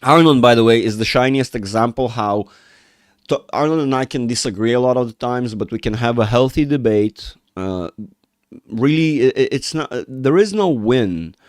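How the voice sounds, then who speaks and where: A male livestream host with a cold talks loosely through market moves and answers chat, often breaking off mid-sentence. His voice is still smooth and clear, recorded close and dry with no room sound. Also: mid-range voice, moderate pace, no echo. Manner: rambling, self-interrupting, reads chat aloud. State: has a cold, voice rough. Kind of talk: casual livestream chat with viewers.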